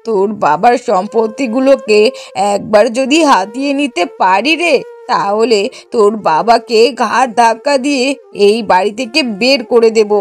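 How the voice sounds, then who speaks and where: A high-pitched middle-aged mother from a moral-story cartoon, played by a woman. She is bossy and sharp-tongued, always scheming. She scolds and argues as if nobody else may have a say. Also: normal speed, lightly acted. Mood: conniving, coaxing.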